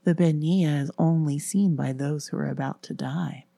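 A woman's voice: on the low side, brisk, dry recording, close to an everyday voice. A woman voicing a confident, knowing schoolgirl who shares ghost lore in a story, with an assured, ominous manner. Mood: ominous whisper.

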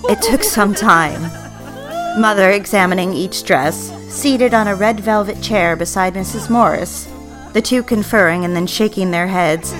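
A young woman playing a yearning, self-justifying secretary engaged to be married, narrating an audio drama in the first person in a measured, wistful, confiding way. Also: mid-range voice, close to an everyday voice.

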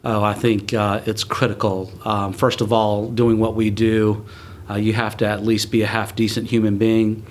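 Man, middle-aged, from South Alabama, podcast guest, even monotone delivery, humble, halting. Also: lowish voice. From South Alabama, USA. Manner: warm, humble, many "uh" fillers. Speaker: guest, malpractice lawyer. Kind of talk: casual podcast interview. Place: law firm conference room.

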